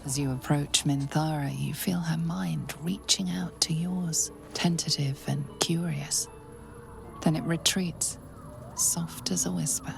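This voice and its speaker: A woman playing a solemn storyteller, narrating a dark fantasy video game in a measured, portentous manner. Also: deep voice, fairly even intonation, vocal fry, music in the background, heavily acted. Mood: hushed, mysterious. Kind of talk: scripted second-person game narration.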